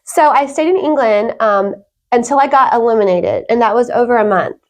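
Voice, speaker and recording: A woman's voice: on the high side, fairly quick. A woman guest on a talk show, telling her own story in an earnest, emphatic, conversational way. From Wales.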